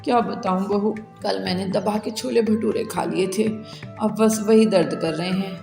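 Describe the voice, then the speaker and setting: A woman's voice: mid-range voice, measured pace, creaky, warm tone. A woman voicing a blunt, nagging middle-aged mother-in-law in a cartoon. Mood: complaining. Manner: blunt and ordering. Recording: echoey room with long reverb and music.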